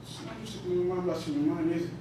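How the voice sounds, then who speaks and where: Woman acting a low, blunt sibling, very echoey. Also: steady tone, quick pace, close to an everyday voice. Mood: exasperated, confrontational. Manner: blunt, pleading, confrontational. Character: weary, blunt, exasperated younger sibling in their twenties. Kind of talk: staged play reading. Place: stage reading in a roomy venue. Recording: very echoey room from far off, noisy rumble.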